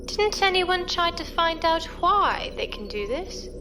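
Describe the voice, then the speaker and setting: A woman's voice: very high voice, sing-song, measured pace, over music, heavily acted. A woman playing an inquisitive, uneasy newcomer to a space pirate crew, talking informally in an audio drama. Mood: curious. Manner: questioning, informal. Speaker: voice actor. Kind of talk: science fiction audio drama dialogue.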